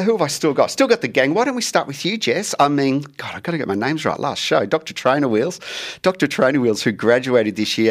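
A man hosting a radio health show, chatty and joking, stumbling over names as he hands over to guests. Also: mid-range voice.

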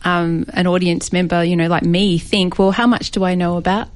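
A woman co-hosts a radio chat from the studio. Her voice sits on the low side, and she works her way through questions with hedges and "you know"s. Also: steady tone, normal speed. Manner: thoughtful, hedging questions with fillers. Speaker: radio co-host. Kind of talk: casual interview chat.

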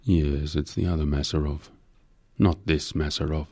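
A man's voice: a bass voice, brisk, warm tone, no room sound. Man reading as a curt, dismissive senior boss. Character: curt, slippery male senior law-firm boss.

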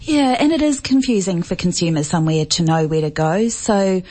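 A woman with a New Zealand accent speaks in a measured, explanatory way as a radio guest. Her voice sits a little low. She lays out each point with care. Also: lively intonation, a little mellow. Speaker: health complaints researcher, studio guest. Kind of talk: radio interview.

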